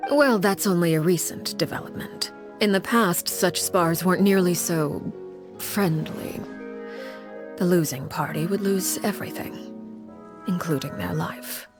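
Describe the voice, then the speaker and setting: A woman acts out a cold, composed game villainess with a lowish voice, speaking slowly and formally, each line carrying a quiet threat. Faint game music plays under her lines. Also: natural voice. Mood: dry. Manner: measured, formal, quietly menacing. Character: cold, composed woman who leads a household of orphans. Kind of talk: game cutscene voice acting.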